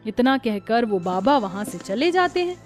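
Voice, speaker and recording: A woman's voice: a little high, big pitch swings, moderate pace, soft background music. A woman reading scripted narration for a cartoon moral story.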